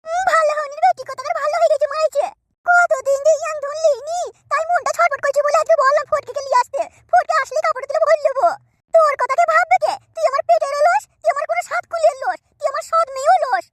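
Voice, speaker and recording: An actor voicing a scheming, lustful middle-aged village woman in a cartoon, with a shrill, squeaky voice and fast, pleading chatter. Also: no echo. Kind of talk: adult cartoon comedy acting. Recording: loud background noise.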